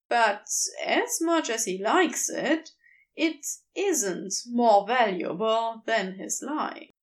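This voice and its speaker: A woman reading a fantasy story aloud, with unhurried and expressive storytelling. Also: on the high side. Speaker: podfic reader. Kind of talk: scripted story narration.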